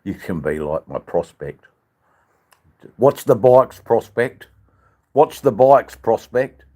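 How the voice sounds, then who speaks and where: An older man with an Australian accent and a husky voice, chatting casually to livestream viewers in a rambling, drawn-out, joking way. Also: mid-range voice, big pitch swings, warm tone. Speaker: livestream channel host. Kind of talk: casual live chat with viewers.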